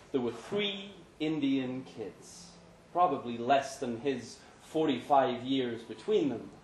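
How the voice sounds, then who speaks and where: A man giving a measured, dramatic live reading of a literary short story. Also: mid-range voice, darkish tone. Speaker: story reader. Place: pub event room, live reading. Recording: echoey pub room, noticeable background noise.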